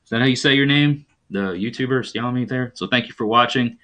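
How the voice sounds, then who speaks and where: A man's voice: medium pitch, fairly quick, bright, forceful tone. A man from rural New York hosting a live stream, chatting casually and rambling with frequent ums as he reads viewers' comments. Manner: greets viewers and reads their comments aloud.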